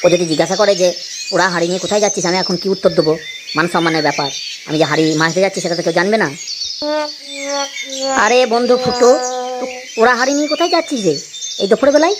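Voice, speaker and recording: A man's voice: high-pitched, expressive, racing, pauses often, heavy background noise. A man voicing a henpecked village husband in a comedy cartoon, complaining and saving face in colloquial speech. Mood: sheepish, friendly. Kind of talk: Bengali comedy cartoon dialogue.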